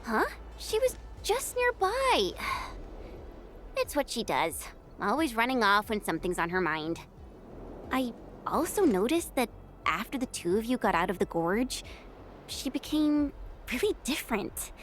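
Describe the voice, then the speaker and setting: An actress voicing an upbeat, curious young woman companion in a video game, talking in a casual, expressive way. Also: on the high side, a little slow, a little hiss or hum. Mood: puzzled, chatty.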